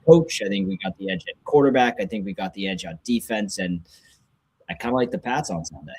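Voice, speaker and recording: A man's voice: medium pitch, lively intonation, fairly quick, creaky, a little mellow, slightly rough. A young man giving casual sports opinions as a podcast guest and devoted fan. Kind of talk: casual sports analysis chat.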